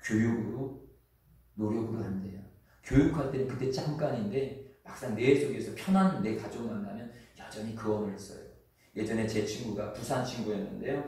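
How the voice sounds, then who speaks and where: Chungcheong pastor preaching in an echoey hall. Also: male voice, mid-range voice, darkish tone. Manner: earnest, conversational preaching with drawn-out sentence endings. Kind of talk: sermon. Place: church sanctuary. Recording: echoey church hall from far off.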